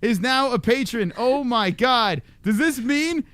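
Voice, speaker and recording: A man hosting a casual podcast chat, joking and hyping up the audience in a loud announcer manner. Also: extremely high pitch, expressive, measured pace. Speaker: podcast host.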